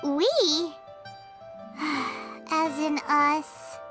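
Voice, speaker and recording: A woman voicing a tiny, childlike fairy companion in a video game, squeaky, excitable and indignant, with a whiny exclamatory delivery. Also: very slow, full character voice. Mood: exasperated. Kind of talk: video game character acting. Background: game music.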